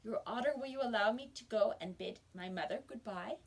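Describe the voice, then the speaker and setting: A woman's voice: mid-range voice, fairly quick, natural voice. A woman reading the part of a courteous brother addressing a judge in a children's storybook. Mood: polite. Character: polite condemned brother asking a favor.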